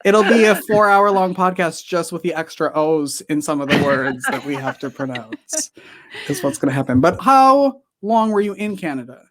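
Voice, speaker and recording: A woman's voice: fairly low pitch, moderate pace. A woman from northern Wisconsin with a northern Wisconsin accent, co-hosting a podcast with an enthusiastic, laughing manner. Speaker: podcast co-host. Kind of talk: casual podcast chat.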